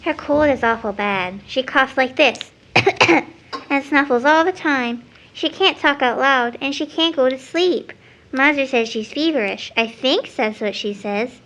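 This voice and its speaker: A little girl in an audio drama. She is sweet, trusting and dreamy, speaking earnestly in a childlike way with a fairly high voice. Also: unhurried, fairly bright tone.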